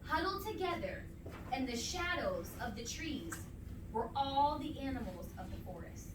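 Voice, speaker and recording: A woman reading a picture book aloud to a child in an expressive, emphatic way, pausing to ask questions. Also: high voice. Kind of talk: animated children's read-aloud. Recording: roomy, distant mic, noisy home recording.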